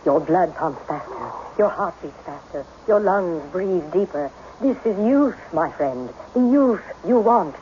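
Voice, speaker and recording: An actress playing a mysterious, commanding madame with uncanny powers in an old-time radio drama, coaxing in an insistent, incantatory, deliberate way. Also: female voice, fairly low pitch, expressive, warm tone. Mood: hypnotic, assured. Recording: muffled, faintly noisy old radio recording.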